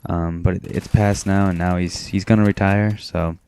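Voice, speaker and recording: A teenage boy from Maine rambling in a self-described monotone, talking casually over his gameplay with frequent restarts. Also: low voice, moderate pace, smooth. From Maine, USA. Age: teens. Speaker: gaming commentator.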